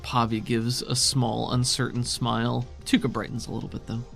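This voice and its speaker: A man's voice: mid-range voice, monotone, normal speed, gravelly, fairly bright tone, soft background music. A man narrating scenes as the game master of a tabletop roleplaying game.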